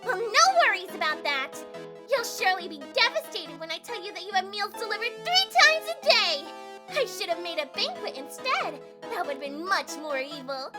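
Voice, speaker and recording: Very high-pitched gloating giant goddess. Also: female voice, very animated, normal speed. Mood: gleefully cruel. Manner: grandiose, theatrical gloating. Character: giant dark goddess, gleefully villainous but harmless and naive. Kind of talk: comedic fantasy audio drama acting. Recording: music playing loudly behind. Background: loud music.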